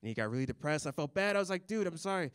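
A man's voice: on the high side, very fast, clean tone, dry recording. Young man doing dry stand-up. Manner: deadpan, dry delivery with chuckles at his own jokes. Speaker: stand-up comedian. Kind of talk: stand-up set. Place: comedy club stage. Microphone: handheld mic.

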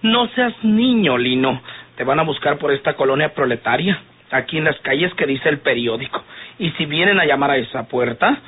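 A man's voice: fairly high pitch, expressive, normal speed, slightly rough. An actor playing a fussy, effeminate man with a Mexican accent, petulant and sighing, in old radio drama style. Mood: grave, reproachful. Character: fussy, effeminate man fretting over his honor. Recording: muffled, phone-like old radio recording.

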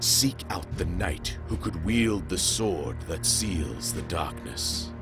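Deep, grave fantasy narrator. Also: male voice, sing-song, gravelly, heavily acted. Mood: solemn. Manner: grave, measured. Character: solemn storyteller of a fantasy legend. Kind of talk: scripted game cutscene narration. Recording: music behind the voice.

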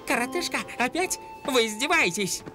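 Plucky little male animal, high pitch, touchy, protesting, cartoon dub, light music. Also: expressive. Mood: indignant. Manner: feisty, indignant, quick to protest.

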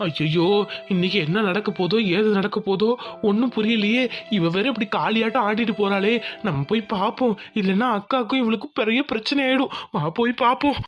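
A woman's voice: medium pitch, fairly quick, dull audio, faint music behind, natural voice. A woman voicing a timid, peace-seeking village husband in a cartoon family drama, worried and pleading. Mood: fretful, worried.

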